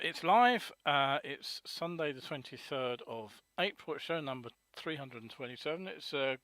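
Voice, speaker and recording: Hoarse man hosting a webcast. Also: medium pitch, a bit bright, no echo. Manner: rambling, many ums and uhs. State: hoarse from a cold. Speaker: host of a live motorcycle news webcast. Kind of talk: live webcast chat.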